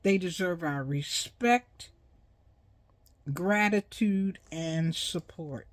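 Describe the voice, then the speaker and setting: Older female host, 60s plus, unhurried halting delivery, close dry sound, informal educational talk. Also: on the low side, no echo. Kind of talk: informal educational monologue on radio history.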